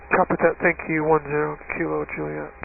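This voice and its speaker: A male pilot talks over a dull, faintly staticky aircraft radio. His voice sits a little high for a man and has a gravelly edge, with clipped readback phrasing. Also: completely flat delivery, fairly quick. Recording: muffled, staticky air traffic radio.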